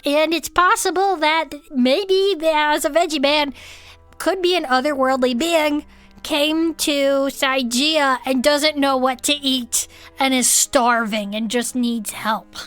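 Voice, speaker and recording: High-voiced woman telling a comic tale. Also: slow, fairly bright tone, soft background music. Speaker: podcast co-host. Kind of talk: casual comedic storytelling.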